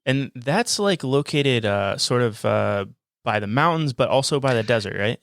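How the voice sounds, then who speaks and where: A man's voice: mid-range voice, animated, moderate pace, creaky, a bit bright. A man co-hosting a podcast, curious and asking questions with hedging fillers. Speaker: podcast co-host. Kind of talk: casual interview chat.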